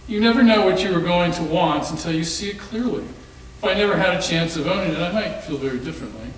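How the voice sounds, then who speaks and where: A wry young man, played by a male stage reader projects dramatically in a fairly high voice. The recording is very echoey and distant, with faint noise behind. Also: fast talker, natural voice. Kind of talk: readers theater acting and narration. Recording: very echoey room, far from mic, faint noise.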